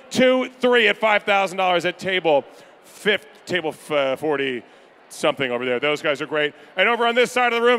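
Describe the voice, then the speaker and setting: A man calling pledges at a charity gala in a banquet room, energetic and upbeat with rapid-fire banter to the crowd. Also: sits high. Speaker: charity auctioneer. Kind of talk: live fundraising pledge calling.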